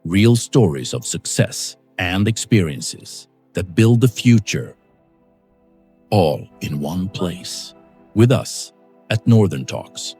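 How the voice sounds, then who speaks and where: A man reading a slow scripted podcast intro. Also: low-pitched, very animated, a little mellow, breathy edge, faint music behind. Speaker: show intro narrator.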